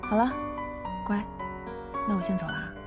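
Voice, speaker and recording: A woman's voice: medium pitch, normal speed, close to an everyday voice. A woman playing a cool, composed lover in a radio drama, with a restrained, firm manner. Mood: calm, coaxing. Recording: loud music behind the voice.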